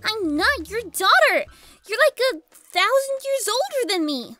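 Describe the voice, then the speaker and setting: A woman voicing a bratty, scheming little filly villain in a cartoon story, squeaky and indignant. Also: sing-song, a little slow, no echo, faint music behind, full character voice. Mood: outraged. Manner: indignant, exasperated, shouting. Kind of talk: cartoon fan fiction voice acting.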